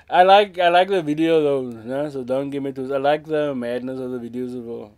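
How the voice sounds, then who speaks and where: A man's voice: medium pitch, unhurried, darkish tone, clean tone. A man in his thirties from South Africa with a Cape Town accent, giving frank, casual commentary in a small room and slipping Afrikaans phrases into his English. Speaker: music reaction host. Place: small indoor room with a brick wall.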